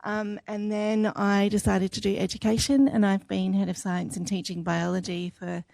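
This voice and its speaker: Gravelly Australian woman in her fifties. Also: medium pitch, level tone, normal speed, a little mellow, no room sound, poor audio. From Adelaide, Australia. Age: 50s. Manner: chatty, self-deprecating, many ums. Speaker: student introducing herself. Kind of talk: self-introduction at a welcome session.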